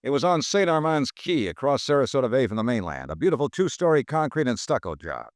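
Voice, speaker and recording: An actor playing a tired, wisecracking insurance investigator who also narrates in the first person, in 1950s radio drama style. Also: male voice, medium pitch, big pitch swings, fast talker, dry recording, studio quality. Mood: narrating. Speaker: narrator and lead detective.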